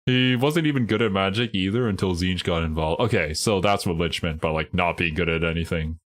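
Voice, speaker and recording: A man's voice: deep voice, fairly quick, fairly bright tone. A man hosting a casual livestream, bantering and commenting with teasing, playful exasperation. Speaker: host reacting to game trailers with a friend. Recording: music behind the voice. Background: music.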